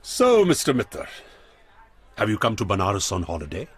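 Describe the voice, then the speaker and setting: Smug crime boss, Indian accent, husky, hugely varied intonation, taunting politeness, deliberate pauses, radio play. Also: male voice, fairly low pitch, wildly swooping pitch, full character voice. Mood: mocking. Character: menacing, smug male crime boss with theatrical courtesy. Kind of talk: radio drama acting.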